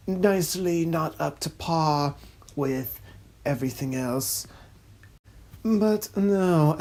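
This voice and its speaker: A woman's voice: rumbling low, dark tone. A woman narrating a dramatic reading as host, with a snobby, pompous, droning delivery and mock-solemn scripted phrasing.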